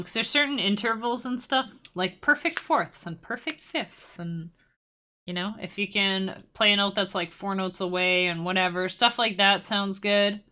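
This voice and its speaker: A woman's voice: medium pitch, bright, forceful tone. A young woman casually teaching music-making on a live stream, rambling and self-deprecating with playful asides.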